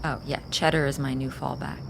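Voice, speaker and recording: Low-voiced weary grieving woman. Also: flat delivery, creaky, background noise. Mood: wry, soft. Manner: halting, confiding, dry-humored. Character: weary, grieving woman, a pediatric hospice nurse, wry and candid. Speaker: hospice nurse character. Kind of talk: naturalistic audio drama acting.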